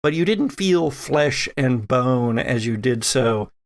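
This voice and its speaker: Man, game master, slow delivery, creaky mid-pitched voice, dramatic descriptive narration. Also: animated. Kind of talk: dramatic narration of a tabletop role-playing game.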